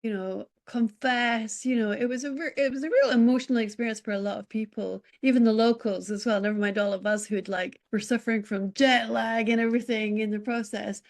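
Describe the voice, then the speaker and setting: A woman's voice: a little high, steady tone, fairly quick, hardly any pauses. Talkative Scottish woman guest. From Scotland. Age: middle-aged.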